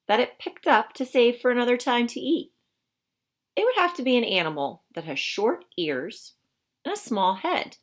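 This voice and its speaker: A woman reading a picture book aloud to children in an expressive, teacherly way, explaining things simply. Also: a little high. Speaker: storybook reader for children.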